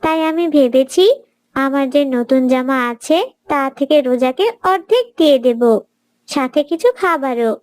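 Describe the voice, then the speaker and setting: Woman voicing an earnest high-voiced little cartoon girl. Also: animated, measured pace, a little mellow, no room sound. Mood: thoughtful. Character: pious, kindly little girl who gently advises. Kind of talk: children's moral cartoon voice acting.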